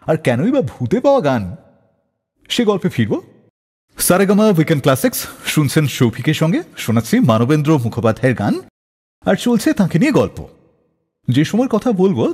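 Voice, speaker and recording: A man's voice: on the high side, darkish tone, slightly rough. A man hosting a radio show, telling stories in a dramatic, suspenseful way and acting out quoted lines. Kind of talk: dramatic radio storytelling between songs. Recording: long reverb on the voice.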